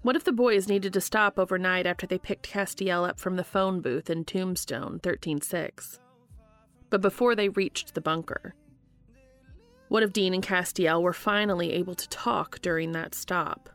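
A woman's voice: lowish voice, normal speed, faint music behind. A woman podcast host reading fan fiction aloud as scripted narration.

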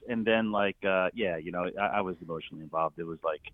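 A man with a fairly low voice chats casually and enthusiastically as an interview guest. He comes through on a thin, dry phone-like line. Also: quick pace, no room sound. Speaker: stadium announcer, guest.

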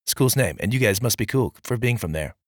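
A man hosting a children's story podcast, hoarse from losing his voice, chatting in an upbeat, friendly way to young listeners. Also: fairly low pitch, lively intonation, quick pace, no echo, studio quality. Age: adult with young children. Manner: upbeat and friendly, addressing kids directly. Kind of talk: casual outro chat to young listeners.

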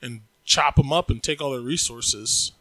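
A man's voice: medium pitch, normal speed, pushed and bright, no room sound, poor audio. A man co-hosting a podcast, chatting casually in a loose, profane, joking way. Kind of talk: casual chat.

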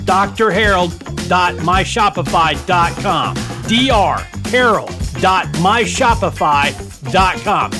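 A man's voice: sits high, animated, takes it slowly, over music. A man reading a scripted, jokey promotional advert as an announcer.

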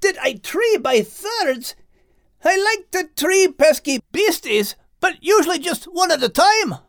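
High, swooping Scottish doggy. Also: big pitch swings, measured pace, fairly bright tone, no room sound, studio quality, full character voice. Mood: loud, puzzled. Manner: folksy, ungrammatical, hearty. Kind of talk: animated children's audio drama.

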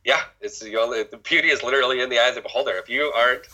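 Husky, bright-toned man speaking candidly. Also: mid-range voice, rapid-fire. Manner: raw, emotional, swears freely, many restarts. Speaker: former ski coach. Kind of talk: candid personal conversation.

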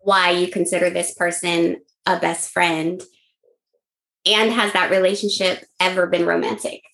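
A woman's voice: on the low side, flat delivery, unhurried, gravelly, a bit bright, rough recording. A woman in her forties asking the group curious questions in a casual chat. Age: 40s.

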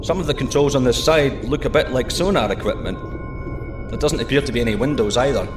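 An actor playing a curious, cheeky astronaut explorer, informal and wry, in a sci-fi audio drama. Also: male voice, mid-range voice, fast talker, natural voice. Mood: thoughtful. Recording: music behind the voice. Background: music.

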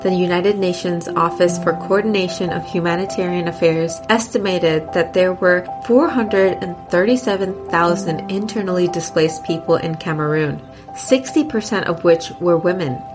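A woman's voice: on the low side, moderate pace, over music. A woman hosting a podcast, opening with a scripted introduction and talking in an enthusiastic, upbeat way. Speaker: podcast host. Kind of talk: scripted intro then interview.

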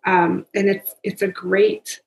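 A woman's voice: medium pitch, little pitch movement, slow pace, vocal fry. Woman host in an echoey room. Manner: encouraging, asks short questions. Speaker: podcast host. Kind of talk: interviewing a guest. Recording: echoey room, faint background noise.

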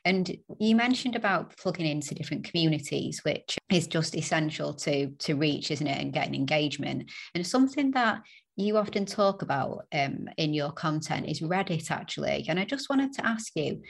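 British-accented woman podcast host, low voice. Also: animated, normal speed, creaky. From Canada, raised in the UK. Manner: upbeat, laughs often, tag questions. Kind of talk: scripted intro then interview chat.

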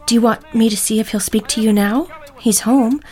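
An actress playing a kindly, discreet housekeeper in an audio drama, speaking gently and carefully. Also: female voice, fairly high pitch, fairly even intonation, normal speed, faint music behind, natural voice. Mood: awkward, sympathetic.

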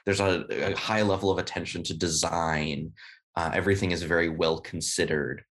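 An American man, a podcast guest, talking casually and thoughtfully in an interview, often pausing with "uh" and "you know". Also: deep voice, steady tone, fairly bright tone. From United States. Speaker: podcast guest, game writer.

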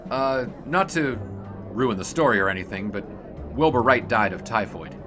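A man's voice: medium pitch, animated, music in the background, close to an everyday voice. A man playing a sober young sportswriter with a wry, wordy, pedantic manner in a period radio drama. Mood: polite, cautious. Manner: measured, wordy, dryly pedantic. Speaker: actor in an audio drama.

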